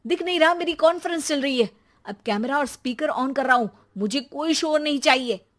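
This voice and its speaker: A woman's voice: sits high, fairly quick, a bit bright. A woman voicing an irritated office-working father, complaining dryly in a children's cartoon story. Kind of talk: animated children's comedy story.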